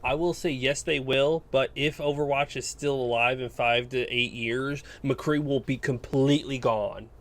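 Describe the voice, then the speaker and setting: A man with a medium-pitched voice talks as a podcast co-host. His delivery is casual and conversational, like relaxed group discussion of gaming news. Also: measured pace, hardly any pauses.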